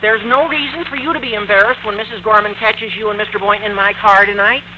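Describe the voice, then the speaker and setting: An actor playing an excitable, scheming high school boy with a squawky adolescent voice in an old-time radio comedy. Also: fast, muffled recording, close to an everyday voice. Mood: wheedling, nervous. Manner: gushing, stammering, eager. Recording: faintly noisy vintage radio recording.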